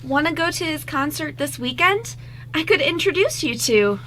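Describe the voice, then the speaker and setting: A woman's voice: high voice, lively intonation, moderate pace, sharp tone. A woman voicing a cheerful, eager young girl in an amateur radio play. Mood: upbeat, reassuring. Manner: perky, eager. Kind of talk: amateur radio script reading.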